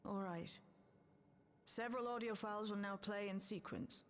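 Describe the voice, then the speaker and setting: A woman's voice: mid-range voice, a little hiss or hum, natural voice. Actress as composed, studious female researcher. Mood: composed, curious. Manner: measured, articulate, formal. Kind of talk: scripted video game voice acting.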